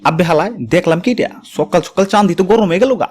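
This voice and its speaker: A man's voice: high voice, very noisy, natural voice. A man voicing a greedy, scheming husband in a comic cartoon, brash and complaining, with an Old Dhaka accent. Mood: envious grumbling. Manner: brash, rapid-fire complaining. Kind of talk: comic cartoon fable voice acting.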